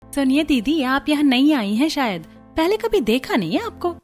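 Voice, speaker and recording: A woman's voice: high-pitched, sing-song, moderate pace, a little mellow, faint music behind. A woman playing a friendly, chatty young neighbour in a cartoon story. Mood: friendly, helpful.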